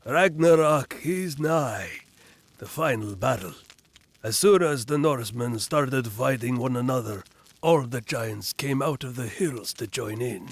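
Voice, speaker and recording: A wily old Norse villager in a fantasy game. His voice is husky and worn, and he speaks slowly, with weary, portentous weight. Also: mid-range voice, lively intonation, dry recording. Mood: ominous, inviting. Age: older. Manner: slow, portentous.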